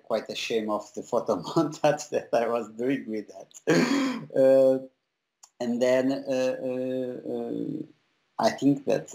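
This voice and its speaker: A young man from Portugal with a Portuguese accent, a visual effects compositor being interviewed over a video call, talking haltingly with many pauses and restarts. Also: medium pitch, gravelly, warm tone, low-quality recording. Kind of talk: casual interview talk.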